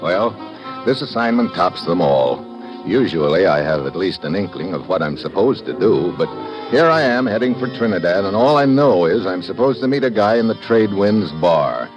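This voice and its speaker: Deep-voiced, wry American government agent. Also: male voice, animated, normal speed, dull audio. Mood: hard-boiled narrating. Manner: wry, hard-boiled. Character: wisecracking, two-fisted male government agent. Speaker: lead actor and first-person narrator. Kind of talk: 1950s radio drama acting. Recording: old radio recording, music.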